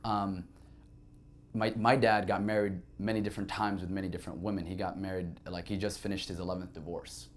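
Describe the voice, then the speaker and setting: A man's voice: deep voice, level tone, a little fast. A young man, a native Arabic speaker from Saudi Arabia, telling his life story as a podcast guest in a candid, reflective way with many fillers.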